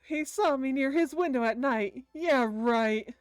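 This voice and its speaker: Female visual novel streamer, unhurried and expressive, slipping into casual asides, faint game music behind. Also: high voice, no room sound. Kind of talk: reading a visual novel aloud with reactions.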